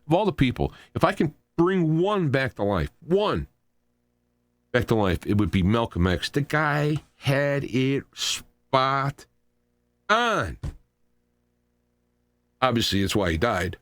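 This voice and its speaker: A middle-aged or older American man hosting a radio talk show, delivering an unscripted political rant in an animated, argumentative, emphatic way. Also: mid-range voice, slow pace, pauses often, no room sound.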